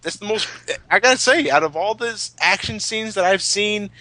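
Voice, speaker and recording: A man's voice: high-pitched, normal speed, pushed and bright, dry recording. A man giving casual fan commentary, opinionated and emphatic, with plenty of swearing. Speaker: commentary host.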